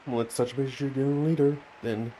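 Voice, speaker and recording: A man's voice: medium pitch, fairly even intonation, fairly quick, very noisy. A man giving casual commentary as he streams a game playthrough. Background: game music.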